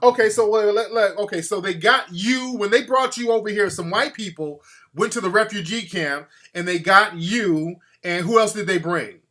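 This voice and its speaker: Male call-in radio host, high voice, sharp bright tone, blunt, impatient, repeats his questions. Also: normal speed. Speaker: radio talk show host. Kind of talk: call-in show, pressing questions.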